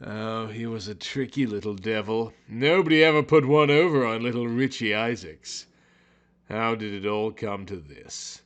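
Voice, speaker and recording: A man narrating a story in a theatrical, brooding, overwrought style, as if every line were high drama. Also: moderate pace.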